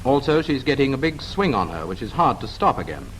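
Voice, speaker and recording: A man's voice: mid-range voice, big pitch swings, a little fast, fairly bright tone. A man with a British accent narrating a 1940s instructional film, addressing the viewer conversationally with questions. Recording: noisy 1940s film soundtrack.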